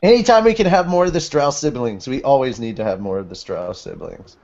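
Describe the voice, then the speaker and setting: Man, mid-pitched, casual podcast banter, rambling, repetitive emphasis, muffled lo-fi recording. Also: animated, brisk, vocal fry, a little mellow. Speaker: podcast co-host. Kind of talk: casual banter about anime. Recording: dull lo-fi audio.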